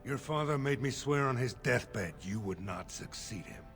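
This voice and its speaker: Game role: accusing dwarf noble, medium pitch, formal pointed delivery, music behind. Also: male voice, lively intonation, normal speed, a bit bright. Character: accusing, sceptical male dwarf noble in assembly. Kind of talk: video game character acting.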